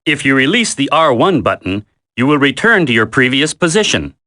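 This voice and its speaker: An actor playing a military instructor in a video game tutorial, coaching a recruit in a firm, deliberate, commanding way. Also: male voice, mid-range voice, big pitch swings, bright, forceful tone. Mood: instructive, firm.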